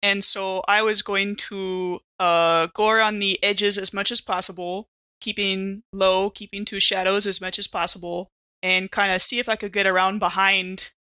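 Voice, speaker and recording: A woman talks casually as a player at a tabletop role-playing game. Her voice is bright and sits at a middle pitch. The sound is close and dry, with no room echo. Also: flat delivery, moderate pace, clean tone.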